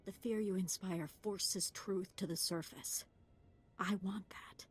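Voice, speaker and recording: A woman's voice: mid-range voice, steady tone, takes it slowly, husky. An actress playing an intense, idealistic young female Jedi apprentice in a video game, with a troubled, earnest and emotional delivery. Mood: resolved. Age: young adult.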